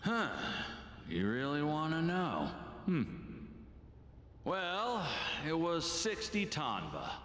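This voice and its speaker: A man's voice: on the high side, wildly swooping pitch, takes it slowly, long pauses, fairly bright tone, exaggerated voice. An actor playing a cruel, smug male slave master in a video game, with a drawling, taunting, theatrical delivery. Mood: mocking, menacing. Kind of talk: video game cutscene acting. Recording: long echoing hall reverb, music behind.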